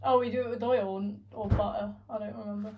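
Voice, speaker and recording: A woman teasing her friend with quick wisecracks while baking in a home kitchen. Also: mid-range voice, steady tone, a little mellow, a little hiss or hum. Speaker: co-host baking for a video. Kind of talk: casual chat.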